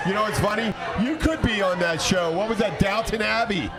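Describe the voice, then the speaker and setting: Male host, high-pitched, loose rambling banter, heavy crowd chatter around him. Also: expressive, brisk. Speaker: podcast host. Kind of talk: casual bantering chat. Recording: loud crowd chatter, outdoor urban spot.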